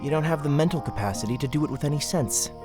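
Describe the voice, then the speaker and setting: A man's voice: a little high, fast, over music, natural voice. A man playing a young nobleman in an audio drama, casual and sarcastic, thoughtful but irreverent. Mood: dry teasing. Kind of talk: audio drama character acting.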